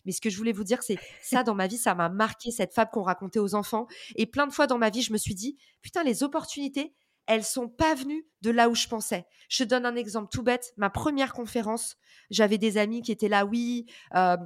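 A French-speaking woman giving energetic, informal advice in an interview, with many fillers and slang. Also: on the high side, fairly quick, dry recording, studio quality. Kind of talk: casual motivational advice.

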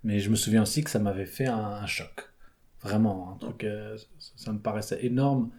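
A man's voice: on the low side, moderate pace, darkish tone. A young man from France, chatting in an interview, hesitant with many fillers and restarts. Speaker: guest, theatre performer. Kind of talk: casual interview chat.

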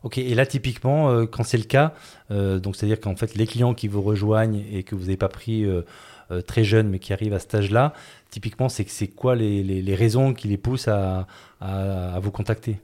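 A man's voice: lowish voice, fairly even intonation, a little fast, mellow. A man hosting a podcast, asking a guest questions in a hesitant way and often searching for words. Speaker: podcast host.

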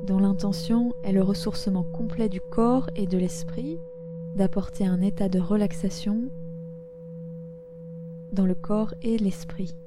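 A woman guiding a yoga nidra relaxation, speaking gently and soothingly in unhurried instructions with long pauses. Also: medium pitch, fairly even intonation, noisy background. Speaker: yoga nidra meditation guide. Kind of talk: guided relaxation meditation. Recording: loud meditation music under the voice. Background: loud instrumental relaxation music.

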